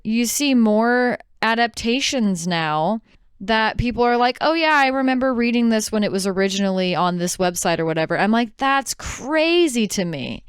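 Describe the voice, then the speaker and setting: Very smooth, clear-voiced woman podcast host. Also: fairly high pitch, animated, moderate pace, dry recording, clean studio sound. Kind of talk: casual podcast discussion.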